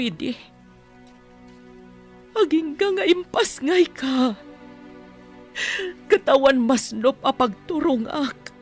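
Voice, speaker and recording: A woman playing an anguished mother pleading with her grown son in a tearful, drawn-out way, in Ilocano-accented radio drama style. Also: high-pitched. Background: faint background music.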